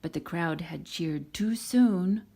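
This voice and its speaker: Slow, low-pitched woman reading a story. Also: warm tone. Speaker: storybook reader. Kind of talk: expressive children's story narration.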